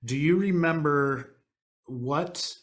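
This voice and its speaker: A man hosting a podcast, with a Wisconsin accent, talking in a halting, laid-back way with many fillers. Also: a little high. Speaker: podcast host. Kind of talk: casual podcast chat.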